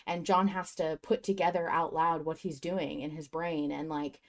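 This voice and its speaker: Female podcast host, lower-pitched voice, casual and reflective fan chat, wordy and enthusiastic. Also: little pitch movement, moderate pace.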